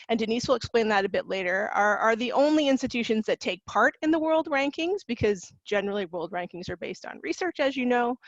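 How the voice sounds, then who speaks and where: A woman's voice: fairly high pitch, no echo, highs cut off. Canadian woman presenting on a webinar. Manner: many fillers and restarts, conversational. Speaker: university recruitment officer.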